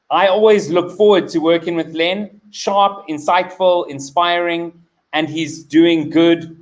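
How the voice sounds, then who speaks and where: A man hosting a live online interview, speaking with halting, hesitant phrasing. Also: fairly high pitch. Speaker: host.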